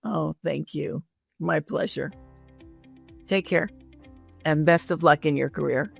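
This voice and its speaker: Creaky-voiced woman hosting an interview. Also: low-pitched, slow, soft background music. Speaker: podcast host and career coach.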